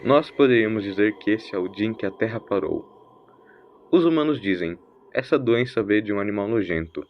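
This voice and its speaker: A man's voice: lowish voice, animated, brisk, muffled recording, slight background noise, natural voice. A man voicing a mysterious, eccentric vagabond in an audio story, drawling slangy words in a theatrical, teasing way. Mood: preachy. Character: mysterious eccentric wandering vagabond, teasing, preachy, ancient but young-sounding.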